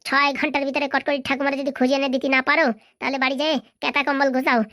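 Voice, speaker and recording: Woman playing a high-pitched, bossy cartoon boss lady. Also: little pitch movement, very fast, a bit bright, no echo, clean studio sound. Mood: stern, threatening. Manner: scolding, commanding. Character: bossy, threatening female boss in a comedy cartoon. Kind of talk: Bengali comedy cartoon dialogue.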